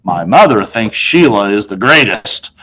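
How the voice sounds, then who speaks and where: A man reading a children's novel aloud in an expressive, conversational way, telling it as a boy in the first person. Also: fairly low pitch, a little slow, rough recording. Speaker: storybook reader.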